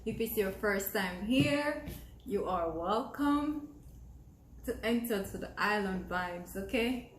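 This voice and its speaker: A woman's voice: mid-range voice, lively intonation, slow pace, roomy sound, slight background noise, low-quality recording. A young Jamaican woman with a Jamaican accent, talking to camera in an earnest, encouraging way that repeats phrases for emphasis. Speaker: vlogger. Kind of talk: casual talk to camera.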